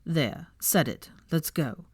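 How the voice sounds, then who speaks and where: A woman's voice: low voice, slow, darkish tone, slightly rough, dry recording, exaggerated voice. A woman voicing a smirking, stubborn young wizard man in an audiobook, with a dry, taunting, muttered delivery. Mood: smug, taunting.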